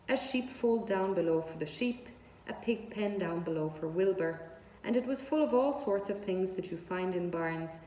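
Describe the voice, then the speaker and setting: A woman narrates a children's book in measured, deliberate phrases. Her voice is medium in pitch. It echoes as in a hall, with some faint noise behind it. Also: darkish tone. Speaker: read-aloud storyteller. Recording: roomy hall-like echo, audible background noise.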